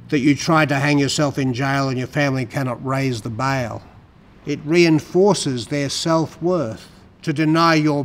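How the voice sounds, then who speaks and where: A man giving a dramatic, emphatic recitation of rhyming poetry. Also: mid-range voice. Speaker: poetry reader.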